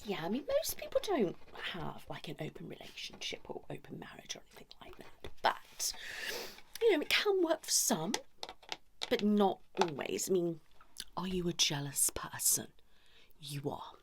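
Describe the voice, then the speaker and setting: A cheeky British female couples therapist, played by a woman in an ASMR roleplay. She speaks in soft whispers on the lower side, with plenty of swoops in pitch. She is blunt and nosy, rambling through hesitant, muttered asides. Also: very animated, normal speed. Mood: matter-of-fact, teasing.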